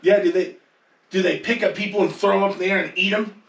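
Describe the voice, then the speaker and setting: A man's voice: high voice, fairly even intonation, quick pace, vocal fry. A man hosting a casual group countdown podcast, bantering with the others. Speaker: podcast host running the countdown. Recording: roomy echo, distant mic.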